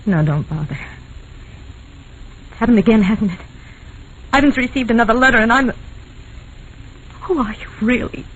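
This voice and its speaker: An actress playing a shy, genteel, fretful young wife with a hesitant delivery, in 1940s radio style. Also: female voice, on the high side, lively intonation, moderate pace, long pauses, fairly bright tone. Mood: distressed. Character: delicate, shy, anxious young society wife. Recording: dull, hissy old radio broadcast.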